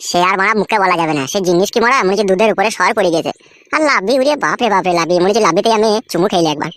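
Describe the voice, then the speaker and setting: A woman's voice: medium pitch, racing, close to an everyday voice. A woman voicing a boastful, lecherous, foul-mouthed bachelor who schemes in a comedy cartoon. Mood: lustful, excited. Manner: crude, swaggering, foul-mouthed.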